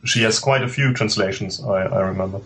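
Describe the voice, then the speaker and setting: A man speaking haltingly in a casual panel discussion as a comic creator. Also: lowish voice, fairly bright tone. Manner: hesitant, halting.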